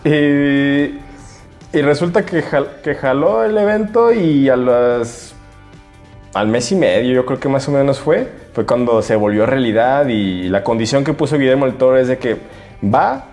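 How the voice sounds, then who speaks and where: A man's voice: medium pitch, a little slow, darkish tone. A Mexican man with a mid-range voice co-hosts a casual podcast. He chats and tells anecdotes informally, with music playing faintly underneath. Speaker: podcast co-host.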